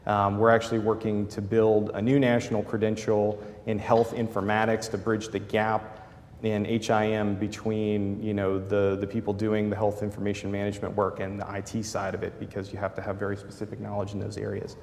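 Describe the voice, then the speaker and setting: A man in his thirties with a boomy voice, explaining his work as a panelist at a conference, with many fillers. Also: monotone, a little fast, darkish tone. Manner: gestures broadly while explaining, many fillers. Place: large conference hall. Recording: hall reverb, faint room noise.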